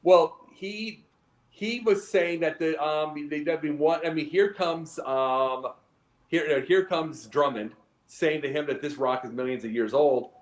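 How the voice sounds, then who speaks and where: A man's voice: fairly high pitch, a little slow. A man from a small town in Illinois, co-hosting a casual talk show and telling rambling, digressive stories. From Quincy, Illinois, USA. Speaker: co-host. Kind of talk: casual radio show chat. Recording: roomy echo.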